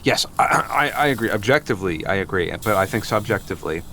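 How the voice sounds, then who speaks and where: An actor in an improvised comedy radio play playing a flustered, over-apologetic boyfriend who stammers, agrees readily and repeats himself. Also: male voice, lowish voice, lively intonation, fast, a bit bright, a little hiss or hum. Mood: anxious backpedaling.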